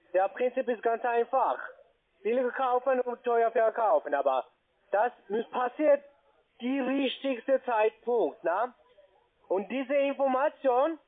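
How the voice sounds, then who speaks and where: A man with a foreign accent speaking non-native German, giving a halting phone sales pitch as a call centre agent and often checking with short tag questions. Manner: halting, broken grammar, checks with "ja?" and "ne?". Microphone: telephone. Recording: muffled narrow phone line.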